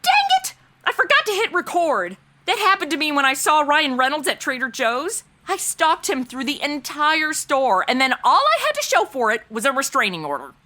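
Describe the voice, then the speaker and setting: A woman's voice: high voice, very animated, normal speed, pushed and bright, full character voice. An actress playing a brash, clueless, celebrity-obsessed young woman, flippant and melodramatic in comedic audio drama banter.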